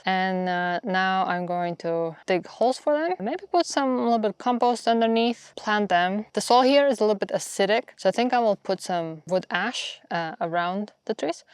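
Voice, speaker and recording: A woman with a Latvian accent talks in a casual, explanatory vlog style. Her voice is smooth and clean, at a middling pitch for a woman. The sound is dry, with very little room in it. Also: dry recording. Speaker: homesteading vlogger.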